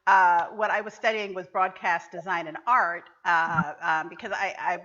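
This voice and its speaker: Podcast guest, female, raised in Trinidad; bright, forceful tone, reflective storyteller, dull lo-fi sound. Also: on the low side, fairly even intonation. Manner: reflective, unhurried storytelling with many fillers. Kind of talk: casual interview chat. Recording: dull lo-fi audio.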